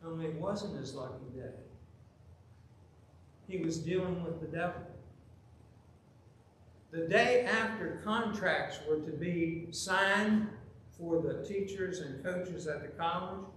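Middle-aged male pastor, high-pitched and animated, preaching in a very reverberant sanctuary. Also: takes it slowly, long pauses, slight background noise. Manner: animated, gesturing, rising to loud emphasis. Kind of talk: sermon with storytelling. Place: large church sanctuary, at the pulpit. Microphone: pulpit microphone, filmed from far camera. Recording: very echoey church with faint ambience.

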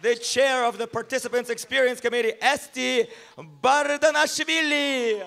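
A man's voice: very high voice, fairly even intonation, moderate pace, vocal fry, pushed and bright, clean tone. A man emceeing a gala, upbeat and joking as he hypes up the crowd and introduces people on a banquet hall stage. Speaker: master of ceremonies. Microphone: handheld microphone.

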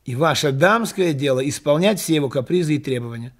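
A man voicing a bribed doctor in a satirical story, halting and full of exaggerated, sighing exclamations of false alarm. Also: on the high side, animated. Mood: mock alarm.

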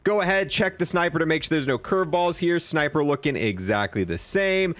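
A young man giving casual, slangy, excitable commentary as he reviews game content. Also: on the high side, brisk, no echo. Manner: excitable, slangy bro-and-dude talk. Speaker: gaming video creator.

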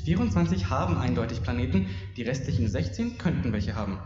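A man as an animated, wry space crewman. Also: medium pitch, fast talker, close to an everyday voice. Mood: wry, explanatory. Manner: mocking, emphatic, explanatory. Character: male space crewman, nonchalant, excitable, wry expert. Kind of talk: dramatic science-fiction audio play. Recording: dull echoey cassette, music behind.

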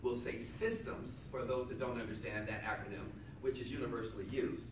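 A man leads a motivational seminar without a microphone, projecting loudly and hammering his points home by repeating them. His voice rings around a hotel conference room and reaches the camera from far off. Steady audience noise sits underneath him. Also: medium pitch, brisk, reverberant. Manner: loud, emphatic, repeats key lines to the crowd. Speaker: seminar speaker. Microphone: far camera at back of room, no microphone.

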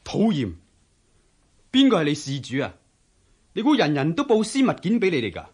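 An actor playing a haughty, irritable young Confucian scholar in a radio drama, scornful and challenging, with a Hong Kong Cantonese accent. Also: male voice, on the high side, wildly swooping pitch, normal speed, breathy edge, full character voice. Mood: irritated, scornful.

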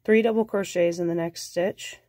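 A woman with a cold, sounding nasal, calmly talking through a crochet tutorial step by step and counting stitches aloud. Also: fairly low pitch, moderate pace, dry recording, clean studio sound. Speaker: crochet instructor.

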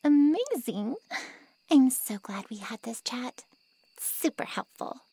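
A woman playing a haughty, callous ancient vampire in an ASMR roleplay drama, with mocking sarcasm and a breathy drawl. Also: high-pitched, big pitch swings, slow, dark tone, heavily acted, low-quality recording. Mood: sarcastic.

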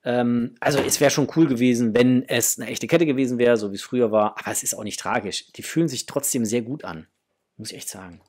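A middle-aged man talks casually to his viewers as the host of an unboxing video. His voice sits at a medium pitch. He sounds enthusiastic and generous with his approval, speaking from a small room at home. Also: fairly quick, a little mellow, slightly rough. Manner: enthusiastic, gushing. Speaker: host of a toy collecting channel.

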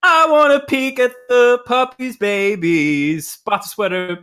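A man's voice: extremely high pitch, steady tone, takes it slowly, sharp tone, clean tone. A man reading comic material aloud in a mock-earnest way, mixed with casual banter. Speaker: podcast panelist reading documents aloud.

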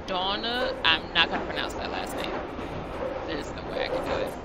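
A woman's voice: fairly low pitch, lively intonation, moderate pace. A young woman chatting casually on a live game stream, exclaiming often and leaning on fillers. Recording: loud background noise.

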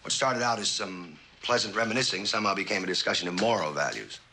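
An actor playing a confident, persuasive writer and lover in a mid-century suspense drama. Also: male voice, sits low, expressive, fast talker, pushed and bright, slightly rough, heavily acted. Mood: defensive, wry.

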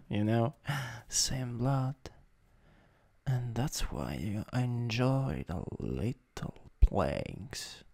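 A man with an Italian accent improvising ASMR as a teasing, domineering older stepbrother, in a halting, intimate, breathy voice that often drops to a whisper. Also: mid-range voice, sing-song, a little mellow. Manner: slow, intimate, halting delivery. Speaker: ASMR roleplay performer. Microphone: close USB microphone.

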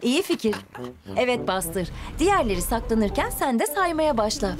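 A woman's voice: on the high side, very animated, normal speed. A woman narrating a children's cartoon in an encouraging, exclamatory way, as if talking to the characters. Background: music.

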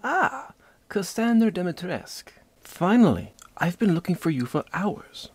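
A man's voice: high voice, very animated, a little slow, breathy edge, pitched above the performer's own voice, exaggerated voice. A man voicing a haughty, sinister aristocratic vampire lady for a comic dub. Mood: imperious. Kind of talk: comic dub character acting. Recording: music under the voice.

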